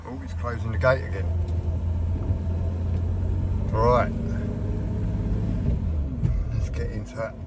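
A man chatting casually to camera from inside his camper van, rambling and enthusiastic, his voice a little hoarse. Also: lowish voice, steady tone, slow, long pauses, heavy background noise. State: slightly hoarse. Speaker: vlogger. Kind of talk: casual vlog chat.

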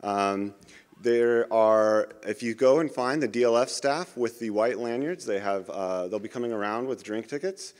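A man's voice: lowish voice, a little mellow. Casual man making announcements. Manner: casual, halting. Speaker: event emcee. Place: large hall, at a lectern. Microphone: podium microphone.

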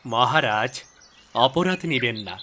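Mid-voiced, earnest royal minister. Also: male voice, medium pitch, animated, slow pace, slight background noise. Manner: earnest, deferential. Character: loyal, consoling royal minister advising his king. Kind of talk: fairy-tale cartoon dubbing. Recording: loud music bed behind voice.

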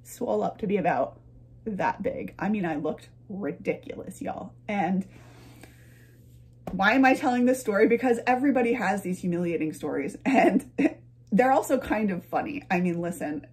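A woman's voice: medium pitch, animated, normal speed, darkish tone, slight background noise. A young adult woman telling a personal story to camera in a casual, chatty and playful way, often wandering into asides. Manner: chatty, playful, frequent digressions and chuckles. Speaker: vlogger and storyteller. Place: medium-sized home room, indoors.

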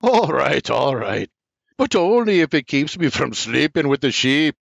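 A man voicing a gruff, barking old father. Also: on the high side, big pitch swings, slow, no room sound, voice pushed up, exaggerated voice. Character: old Irish father, gruff storyteller patriarch. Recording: slightly muffled dry recording.

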